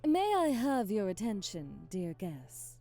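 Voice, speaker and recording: A woman's voice: mid-range voice, big pitch swings, slow pace, music in the background. A woman playing a haughty, aristocratic vampire hostess in an ASMR audio drama, speaking in a formal, theatrical and commanding way. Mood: formal, poised.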